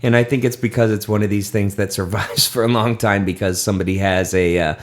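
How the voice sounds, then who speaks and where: A man's voice: lowish voice, steady tone, a little fast. A man hosting a casual late-night podcast, tipsy, rambling with lots of fillers and frequent laughs. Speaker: podcast host.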